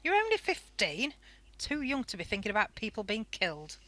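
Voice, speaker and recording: Woman playing snappy mother, bright hard-edged voice, stern, protective, clipped, radio drama. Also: medium pitch, wildly swooping pitch, brisk. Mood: snappy, scolding. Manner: sharp, scolding, clipped. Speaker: amateur radio play actor. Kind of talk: radio play acting.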